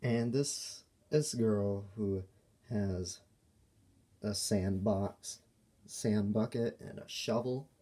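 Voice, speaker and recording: A man giving a casual, unscripted show-and-tell as a toy reviewer, speaking in halting, broken phrases. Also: lowish voice, warm tone. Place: indoors at a table by a window.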